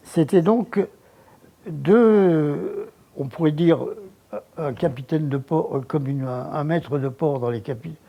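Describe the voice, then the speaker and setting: An older man recalling his childhood in an interview, halting, with many false starts. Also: fairly high pitch, creaky, dark tone. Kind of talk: reminiscing interview.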